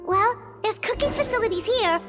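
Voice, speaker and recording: A woman voicing a tiny, childlike fairy companion in a video game, chirpy, caring and excitable, with a squeaky voice. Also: moderate pace, faint music behind. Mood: urging, caring.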